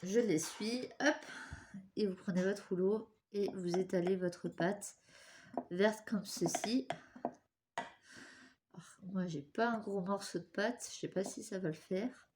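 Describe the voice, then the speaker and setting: A woman's voice: medium pitch, takes it slowly, pauses often, noisy background. A young woman with a cold and a stuffy nose, hosting a casual step-by-step craft tutorial and chatting apologetically while she works with her hands.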